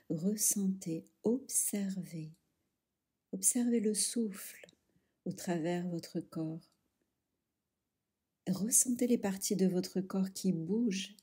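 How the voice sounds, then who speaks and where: A woman leading a guided yoga nidra relaxation, soothing and hushed, speaking in short instructional phrases with long pauses. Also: medium pitch, husky.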